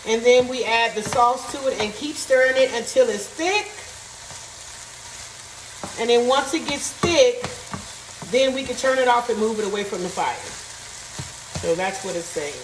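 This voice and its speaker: A woman's voice: on the high side, a little slow, pauses often. Chatty woman cooking in an echoey kitchen. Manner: chatty, thinks aloud, reads recipe steps. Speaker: cooking vlogger. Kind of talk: casual cooking vlog chat. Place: home kitchen. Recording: echoey kitchen, mic at a distance, frying noise. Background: sizzling and stirring in a pan.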